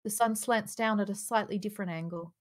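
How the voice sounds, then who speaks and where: Woman, mid-pitched voice, narrator, live table read of a screenplay, video call. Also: brisk. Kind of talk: live script read-aloud.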